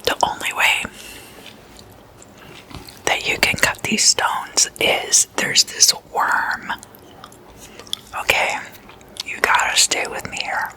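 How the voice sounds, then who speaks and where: Slow, crisp ASMR whisperer. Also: rough recording. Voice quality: breathy unvoiced whisper. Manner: slow, intimate, repeats words softly. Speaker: ASMR host. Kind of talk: ASMR whispering, casual storytelling.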